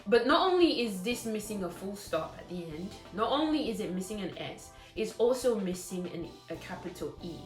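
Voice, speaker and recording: A woman lectures trainee teachers in a blunt, scolding way, hammering points home by repeating words. Her voice sits at an ordinary middle pitch. She speaks in an echoey room, with faint music playing behind her. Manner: blunt, scolding, emphatic repetition.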